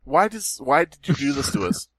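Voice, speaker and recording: A man's voice: a little high, very animated, moderate pace, fairly bright tone, no room sound. A man co-hosting a comedy podcast over a call, mocking the stories and laughing often. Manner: mocking, bursts of laughter, swings into silly voices. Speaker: podcast co-host. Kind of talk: casual comedic podcast chat. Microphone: remote call over Skype.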